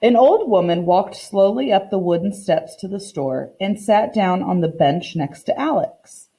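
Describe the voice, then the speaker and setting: Mellow woman teacher reading aloud. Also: mid-range voice, lively intonation, measured pace, slight background noise, low-quality recording. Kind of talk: read-aloud lesson for children, storybook narration.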